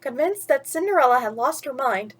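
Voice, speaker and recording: A woman with a high-pitched voice talks as the host of a children's storytime. She is casual and chatty, and reads with expression, as for a bedtime story. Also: animated, fairly quick, breathy edge.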